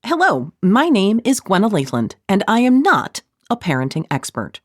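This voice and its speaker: Woman narrator with wry, very animated delivery. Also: medium pitch, moderate pace, no room sound, clean studio sound. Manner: snarky, wry, playful deadpan asides. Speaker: author reading own audiobook. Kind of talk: scripted audiobook narration, conversational.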